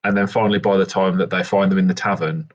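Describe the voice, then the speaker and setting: A man's voice: low-pitched, steady tone, fast talker. A man casually improvising what his character does as a player in a tabletop roleplay game. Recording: dull, lo-fi game recording.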